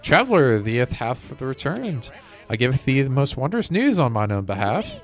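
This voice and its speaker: A man's voice: mid-range voice, sing-song, normal speed, faint music behind, pitched above the performer's own voice. A man voicing a seasoned fantasy adventurer in a game with pompous, theatrical mock-medieval speech. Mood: proud, relieved.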